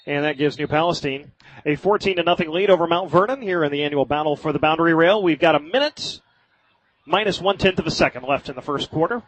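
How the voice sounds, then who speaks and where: A man doing live radio play-by-play of high school football in short, clipped phrases. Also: quick pace, fairly bright tone, poor audio. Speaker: play-by-play sports announcer.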